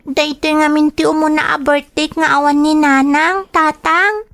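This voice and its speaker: A woman's voice: high-pitched, fairly even intonation, normal speed, fairly bright tone. A woman with an Ilocano accent voicing an eager, affectionate little daughter in an audio drama, childlike in manner. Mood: sad.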